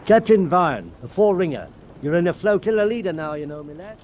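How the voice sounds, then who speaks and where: Casting: loyal coxswain, high male voice, matter-of-fact, faint noise behind. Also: very animated, fairly quick, a little mellow, highs cut off. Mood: dutiful, matter-of-fact. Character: dutiful British naval coxswain. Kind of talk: radio drama acting. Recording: faintly noisy radio drama recording.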